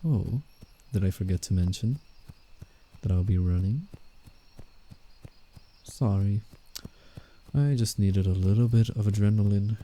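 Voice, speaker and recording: A man playing a guarded, teasing but soft-hearted werewolf in a scripted roleplay ASMR drama, speaking in a measured, reassuring way. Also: on the low side, low-quality recording. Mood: playful, reassuring. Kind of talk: roleplay ASMR, scripted character acting with inner monologue.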